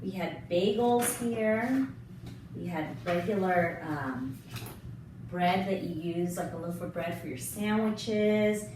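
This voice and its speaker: A woman's voice: on the low side, slow pace, background noise. A woman teacher talking a lesson through simply for children. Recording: very echoey room, distant mic, faint music.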